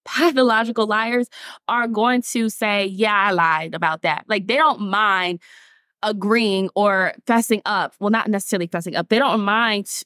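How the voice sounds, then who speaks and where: Chatty, animated young woman. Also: a little high, no echo, clean studio sound. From Atlanta, Georgia. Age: 20s. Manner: chatty, animated, laughs often, addresses listeners as y'all. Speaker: TikTok creator and podcast host. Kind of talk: casual talk to camera.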